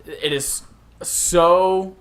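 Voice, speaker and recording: A man's voice: sits high, steady tone, very slow, a bit bright. A man co-hosting a gaming podcast in casual, conversational chat with friends. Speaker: podcast co-host.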